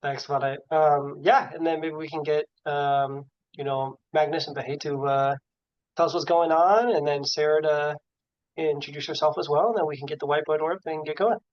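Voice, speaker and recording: A man's voice: on the high side, fairly even intonation, moderate pace. A man co-hosting a medical case conference, upbeat and thinking aloud with lots of fillers over a video call. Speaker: co-host of a case conference, physician. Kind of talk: casual hosting and clinical reasoning. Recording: dull, lo-fi video call audio.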